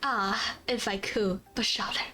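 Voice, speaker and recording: A woman's voice: mid-range voice, steady tone, a little slow, sharp tone. A woman voicing a naive, earnest young man in a fairy tale, with a plaintive manner. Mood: plaintive wishing. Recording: faint background music.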